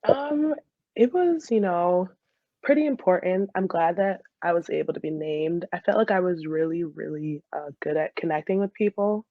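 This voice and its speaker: A woman's voice: on the low side, normal speed, no room sound, phone-quality sound. A woman in her twenties with an American accent, chatting informally as an interview guest and retelling things in a giggly, casual way. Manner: giggly, informal, animated retelling with quoted asides. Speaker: interview guest, former college soccer player.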